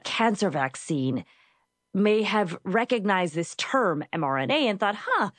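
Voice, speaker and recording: A woman hosting an interview, asking curious, quick questions. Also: mid-range voice, very animated, dry recording. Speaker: host.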